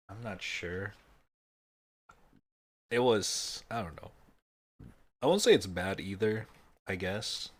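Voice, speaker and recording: A young man from Indonesia chatting casually on a live stream, rambling and swearing in a laid-back way. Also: on the low side.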